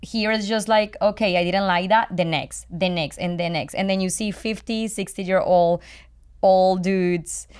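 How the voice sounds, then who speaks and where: A woman's voice: mid-range voice, steady tone, measured pace, darkish tone, smooth. A woman from Colombia with a Colombian accent, a native Spanish speaker, talking candidly and casually and repeating phrases for emphasis.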